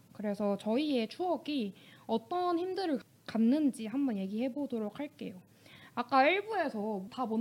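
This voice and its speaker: A young woman hosting a radio show, chatting politely and giggly in an animated way with her guest. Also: on the high side. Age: young adult. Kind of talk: casual friendly interview chat with scripted segues.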